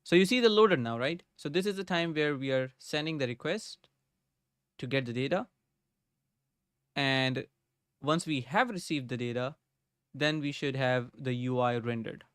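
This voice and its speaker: A man's voice: medium pitch, normal speed, pauses often, a bit bright, smooth, no echo, studio quality. A young man streaming live from his home desk, thinking aloud as he codes and greeting viewers in the chat. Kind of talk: casual live coding chat. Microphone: desk microphone.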